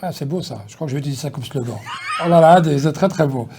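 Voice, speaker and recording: A man's voice: a little high, fast talker, a little mellow, slight background noise. A man, talking casually in an interview, rambling with many fillers and restarts. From Belgium. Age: 50s. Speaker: politician guest.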